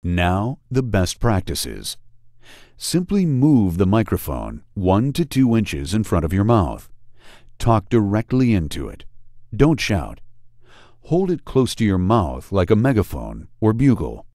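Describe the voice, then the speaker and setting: Deep-voiced male training video narrator. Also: huge pitch swings, slow pace, dark tone, no echo, studio quality. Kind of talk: scripted instructional narration. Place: studio voice-over.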